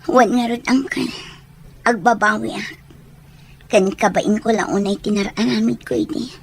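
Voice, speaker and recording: A woman's voice: on the high side, fairly even intonation, normal speed, a little hiss or hum, lightly acted. A woman playing a sincere, wounded young daughter with a Filipino accent in an Ilocano radio drama. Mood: ashamed, regretful.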